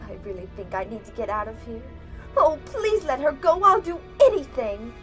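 A woman's voice: a little high, animated, normal speed, a bit bright, faint music behind. A woman playing an innocent, anxious, sweet young girl in a family audio drama, earnest and full of worried exclamations. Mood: pleading.

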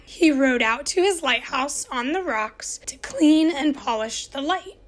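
A woman reads a children's picture book aloud at story time. Her voice sits high, and she takes an unhurried pace. She stretches key words gently for young listeners. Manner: gentle, expressive storybook reading with slow emphasis. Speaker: storytime reader.

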